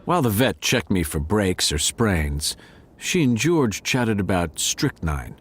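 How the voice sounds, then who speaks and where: Audio drama narrator: wry tomcat, lowish voice, lively swings, dramatic noir delivery. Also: male voice, a little slow, slightly rough, faint music behind, heavily acted. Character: male cat narrator, wry, smitten, noir detective-story storyteller.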